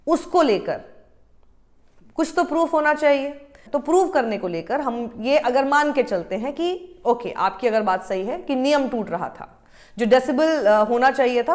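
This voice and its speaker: A woman in her thirties giving argumentative news commentary to camera, switching between Hindi and English. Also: high voice. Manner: argumentative, exasperated, mixing Hindi with English phrases.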